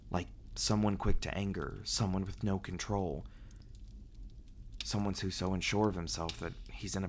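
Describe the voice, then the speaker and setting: Man acting as a low, gravelly brooding vampire. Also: slight background noise. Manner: halting, hesitant delivery with dramatic pauses and sighs. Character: reclusive male vampire, brooding and earnest, confiding in a companion. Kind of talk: ASMR roleplay audio drama acting.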